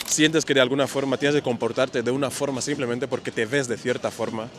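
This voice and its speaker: A man's voice: medium pitch, fairly even intonation, brisk. A man hosting a street interview who asks curious, probing questions. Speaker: interviewer and host.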